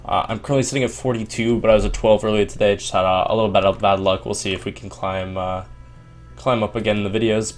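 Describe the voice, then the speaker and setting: A young man with a slightly sore voice, casually walking through a card game deck with lots of ums and restarts. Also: fairly low pitch. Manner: quick, filler-heavy delivery with frequent ums and restarts. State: sore voice from long streaming. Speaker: Hearthstone player explaining a deck.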